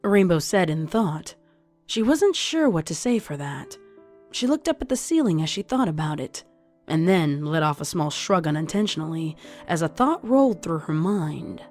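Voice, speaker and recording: Low-voiced woman narrating a story. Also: big pitch swings, normal speed, darkish tone. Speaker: narrator, fan fiction reader. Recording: music under the voice.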